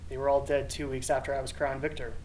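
A man's voice: mid-range voice, little pitch movement, fast talker, a little mellow, natural voice. A young man in a radio play, playing a bitter former champion who recalls his losses. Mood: bitter, grim. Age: young adult. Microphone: studio microphone. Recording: distant, slightly noisy recording.

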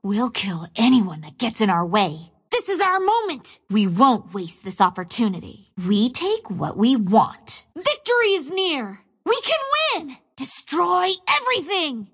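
A woman's voice: sits high, very animated, unhurried, few pauses, a bit bright, slightly rough, exaggerated voice. An actress playing a cunning young female assassin in a game, taunting her foes with playful menace and relishing every kill. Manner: gleeful, teasing, relishes killing. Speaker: video game character. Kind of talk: game voice lines. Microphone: studio mic.

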